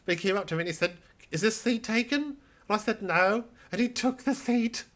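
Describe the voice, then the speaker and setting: A man putting on a mock stand-up comic who tells hacky dating jokes in a squeaky voice with punchline timing. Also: moderate pace, fairly bright tone.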